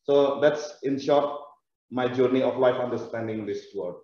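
A man in his forties with an Indonesian accent, giving a webinar presentation in a careful, halting way with many pauses. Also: mid-range voice, warm tone, slight background noise. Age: 40s. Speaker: guest lecturer. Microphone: video call. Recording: echoey, dull video call audio.

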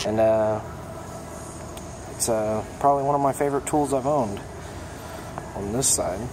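A young man of middle pitch, chatting easily about his gear, with frequent ums and uhs. He films on a handheld phone inside a repair shop, and a steady hum of air conditioning and passing vehicles sits clearly behind him. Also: a little slow. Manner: relaxed, plainspoken, many ums and uhs. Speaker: mechanic giving a toolbox tour. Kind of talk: casual show-and-tell vlog. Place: auto repair shop, indoors. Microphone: phone held in hand, filming first-person.

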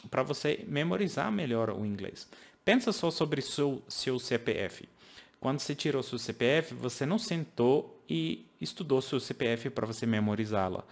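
A man's voice: mid-range voice, creaky. Man, 30s, English-speaker accent, earnest teacher, home office webcam talk. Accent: English-speaking foreigner speaking Portuguese. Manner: earnest, explaining with hand gestures, makes grammar slips. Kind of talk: casual video lesson to camera.

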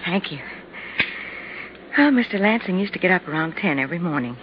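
An actress playing a shaken, chatty apartment neighbor with an American accent and rambling talk in a 1950s radio drama. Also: female voice, fairly low pitch, lively intonation, brisk, close to an everyday voice. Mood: reflective, chatty. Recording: muffled, noisy 1950s radio recording.

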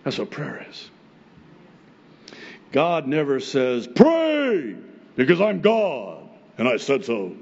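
A middle-aged man whose first language is Arabic, a pastor preaching a sermon from a pulpit microphone on a church stage, animated and chuckling often as he acts out God's replies. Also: medium pitch, slow pace, gravelly, long pauses, dull audio. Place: church stage with wood pulpit and plants, indoors.

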